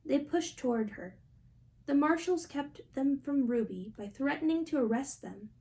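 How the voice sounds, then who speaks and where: A woman's voice: high voice, lively intonation, normal speed, mellow. A young adult woman reading a picture book aloud as a storybook reader. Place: small indoor room at home.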